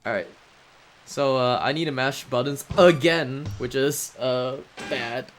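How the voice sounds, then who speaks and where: A man doing casual live speedrun commentary, explaining the game in halting, hesitant phrases. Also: medium pitch. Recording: game music behind the voice. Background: video game music.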